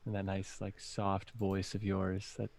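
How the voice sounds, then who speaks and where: A man's voice: low-pitched, completely flat delivery, unhurried, vocal fry, darkish tone. A man in a casual chat, joking and teasing in light banter.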